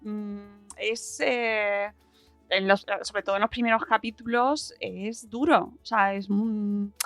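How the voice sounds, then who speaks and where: A woman's voice: mid-range voice, steady tone, slow pace, a bit bright, faint music behind. A woman hosting a podcast interview, chatting casually and piecing her thoughts together hesitantly.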